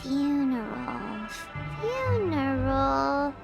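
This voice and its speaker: A woman voicing a tiny, excitable female fairy companion in a video game, squeaky and expressive. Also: extremely slow, vocal fry, music in the background, full character voice. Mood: reactive. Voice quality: squeaky, expressive, easily startled.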